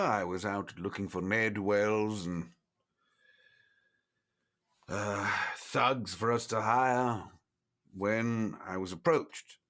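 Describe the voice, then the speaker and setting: A man voices an ancient vampire in a tabletop horror game. He speaks low and deliberately, keeping things close, with a wry edge. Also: fairly bright tone, full character voice. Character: guarded, centuries-old male vampire, gruff but wry.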